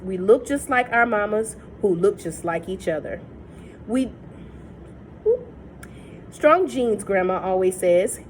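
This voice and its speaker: A woman's voice: mid-range voice, a little mellow, a little hiss or hum, poor audio. Woman reading a novel aloud. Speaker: reader.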